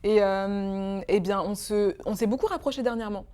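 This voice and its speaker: A woman's voice: mid-range voice, normal speed, mellow. A young woman in her twenties from Congo, speaking as a guest in an emotional interview, hesitant and full of fillers. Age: 20s. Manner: hesitant, many fillers and restarts.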